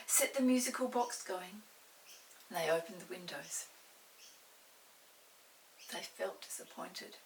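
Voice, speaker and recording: A woman storyteller giving an expressive reading of a children's storybook, at times dropping into breathy tones. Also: mid-range voice. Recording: slightly distant mic, faint hiss.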